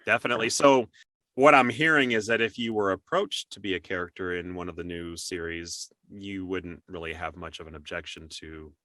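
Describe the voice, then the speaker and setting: A man with a bright, forward voice hosts a casual interview. He sounds encouraging and appreciative toward his guest, and the sound is close and very dry. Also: low voice, brisk, no room sound. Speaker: interview show host.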